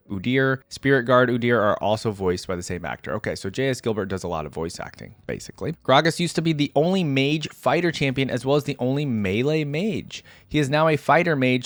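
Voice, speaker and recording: A man's voice: medium pitch, no echo. A man hosting a reaction video, reading aloud and adding casual, conversational asides as he muses.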